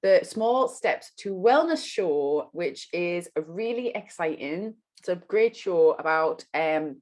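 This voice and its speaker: A woman hosting a casual chat podcast, upbeat and eager, with quick asides to her guest and listeners. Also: fairly low pitch, expressive, a little mellow. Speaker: podcast host.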